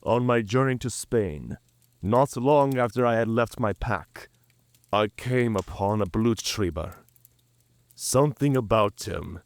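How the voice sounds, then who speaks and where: A man's voice: lowish voice, slow, no echo. A man playing a cunning, proud werewolf in an audio drama, with a theatrical, drawling and mocking delivery. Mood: grave, intrigued. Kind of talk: cinematic audio drama acting.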